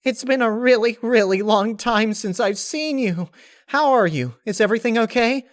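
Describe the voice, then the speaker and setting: A man voicing an excitable, gushing young female cartoon pony in a story reading. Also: extremely high pitch, moderate pace, dry recording, clean studio sound, pitched above the performer's own voice. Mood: gushing, emotional. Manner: gushing, bubbly, exclamatory.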